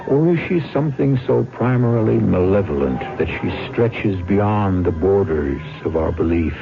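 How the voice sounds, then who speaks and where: A man's voice: on the low side, creaky. A man hosting a radio mystery drama, narrating in a grave, theatrical, literary manner. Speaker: host and narrator. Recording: muffled old radio broadcast. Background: music.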